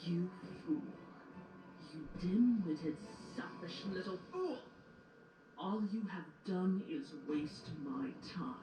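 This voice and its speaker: A woman acting a menacing pony villainess with dark magic in an audio drama, speaking in a grandiose, scornful way. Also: fairly low pitch, big pitch swings, slow, full character voice. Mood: scornful anger. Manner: grandiose, scornful theatrical delivery. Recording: roomy, distant playback under music.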